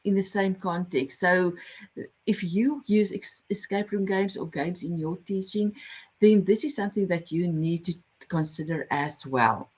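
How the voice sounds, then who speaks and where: A woman's voice: fairly low pitch, measured pace, a little mellow, husky. A middle-aged woman with a South African accent, facilitating an online workshop in a friendly, explanatory way with frequent ums and restarts. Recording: slightly dull online call audio.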